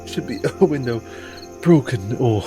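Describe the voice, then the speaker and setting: A man's voice: medium pitch, animated, unhurried, close to an everyday voice. Man playing a weary, cautious adventurer, music behind. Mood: weary, earnest. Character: weary, cautious male adventurer, protective and pragmatic. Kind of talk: in-character tabletop roleplay.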